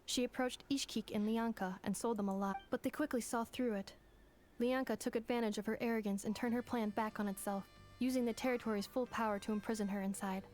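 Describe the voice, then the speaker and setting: A woman voicing a polite, earnest young machine girl in a game, speaking in a formal, measured and literal way. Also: fairly high pitch, fairly even intonation, darkish tone, soft background music, natural voice. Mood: explanatory.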